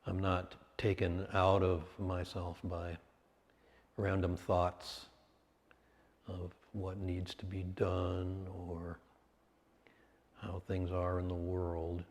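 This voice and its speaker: A man with a low voice guides a meditation at a very unhurried pace. He offers instruction in short, measured phrases with space between them. The sound carries a long, hall-like reverb. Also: monotone.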